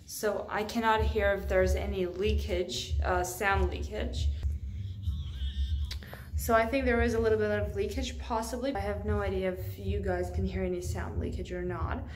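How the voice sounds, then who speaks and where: A woman's voice: medium pitch, level tone, normal speed, soft background music. A young adult woman talking casually to camera in a product review, informal and thinking aloud, recorded indoors on a camera at arm's length. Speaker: fitness vlogger. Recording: clear background noise.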